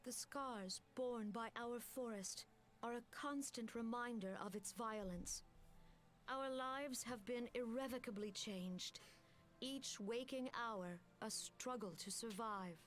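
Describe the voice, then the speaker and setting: An actress voicing a solemn woman military commander who addresses her people in a grave, formal and measured way. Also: on the high side, a bit bright. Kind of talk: video game cutscene voice acting.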